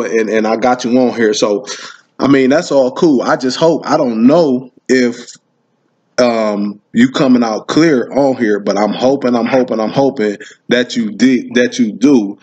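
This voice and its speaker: Male podcast host, average pitch. Informal, conversational interviewer who repeats phrases for emphasis. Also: dull audio.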